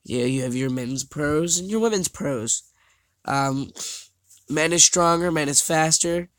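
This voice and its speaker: A young man with a somewhat high voice talks casually over slides in a dry, close recording. He sounds stuffed up and a little scratchy, and he stumbles through his points with fillers and restarts. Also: fairly bright tone, no room sound. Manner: halting, many fillers and restarts. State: congested, slightly scratchy voice. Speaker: vlogger.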